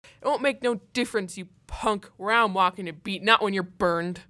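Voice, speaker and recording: A woman voicing a hard-boiled male police detective in an old-time radio drama, gruff, mocking and bullying. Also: mid-range voice, normal speed, pushed and bright, natural voice.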